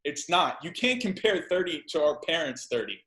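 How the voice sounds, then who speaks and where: A man's voice: a little high, lively intonation, normal speed, bright, forceful tone. A man in his thirties, a guest in a casual podcast talk, speaking emphatically, repeating phrases and swearing freely. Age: 30s. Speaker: guest entrepreneur. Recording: echoey room.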